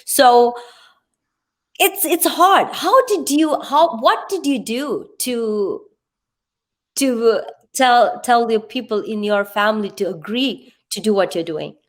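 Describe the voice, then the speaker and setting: A woman's voice: fairly high pitch, slow pace. A woman hosting a conversational, motivational talk show, searching for words and repeating herself as she asks questions.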